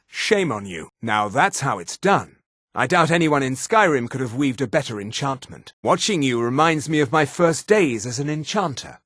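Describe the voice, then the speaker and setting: A haughty high elf man for a fantasy video game. His fairly high voice moves through wide, animated swings of pitch with precise, theatrical diction. The sound is dry and close, free of room echo. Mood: disdainful, then appraising. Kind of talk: scripted fantasy game voice acting.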